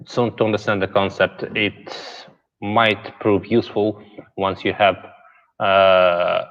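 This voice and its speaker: A man hosts an online developer conference, wrapping up talks and introducing speakers in a relaxed, halting way. His somewhat low voice comes through dull, lo-fi audio. A long reverb trails behind it. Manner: relaxed, halting, many fillers. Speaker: event host. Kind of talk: moderating an online conference stream. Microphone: video call.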